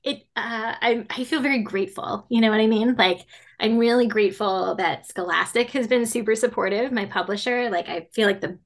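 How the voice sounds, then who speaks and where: A woman in her forties, a children's fantasy author, answering interview questions in a chatty way with many fillers and frequent laughter. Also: medium pitch. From grew up mostly in Latin America.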